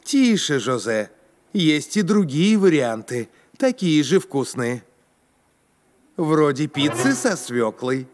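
Lively, patient children's cartoon narrator. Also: male voice, fairly high pitch, slow pace. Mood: patient, coaxing. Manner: encouraging, explanatory. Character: friendly helpful adult male cartoon narrator, patient companion. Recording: music behind the voice.

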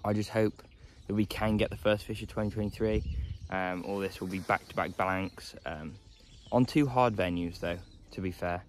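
Young male vlogger by a lake, deeper voice, laid-back and rambling, phone mic at arm's length. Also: fairly even intonation, normal speed. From England. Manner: low-key, resigned, chatty with many "um"s. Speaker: carp fishing vlogger. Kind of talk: casual vlog to camera. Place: outdoors by a lake. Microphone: phone camera held at arm's length.

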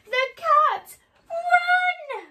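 A woman voicing a small, timid male mouse in a children's storybook, crying out in shrill panic. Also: animated, drawn-out words, pushed and bright, heavily acted. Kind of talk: children's storybook read-aloud.

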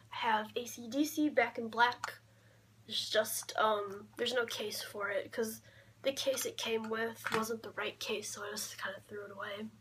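A young woman talking casually in a low-key show-and-tell vlog, often trailing off, recorded on a camera mic in a bedroom. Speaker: vlogger showing a collection. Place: small cluttered bedroom, indoors. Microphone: camera mic at arm's length. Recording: clear background noise, handling clicks.

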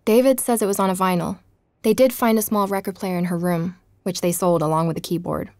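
A woman's voice: lowish voice, steady tone, a little fast, a little mellow, dry recording, studio quality, natural voice. An actress playing an earnest young woman journalist. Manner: earnest, intrigued, speaking to listeners. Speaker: podcast narrator. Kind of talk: scripted audio drama narration. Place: home bedroom.

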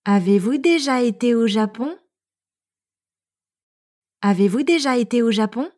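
A woman narrator reading French phrases for learners, clearly and evenly, each one repeated. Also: on the high side, animated, a little slow, a little mellow. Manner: reads each phrase three times, evenly.

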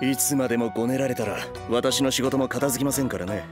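An actor playing a stern, exasperated workaholic retainer who speaks formally and curtly in a comic anime drama. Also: male voice, mid-range voice, fast talker, over music, lightly acted. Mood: brisk, resigned. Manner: formal, curt, businesslike. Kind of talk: anime voice drama comedy acting.

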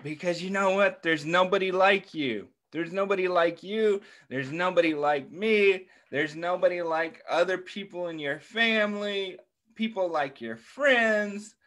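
A man's voice: sits high, a little slow, a bit bright. A man in his thirties talking to young children as a story time host, in an encouraging, simple and repetitive way on a video call. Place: small furnished room at home, indoors. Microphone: computer mic on a video call.

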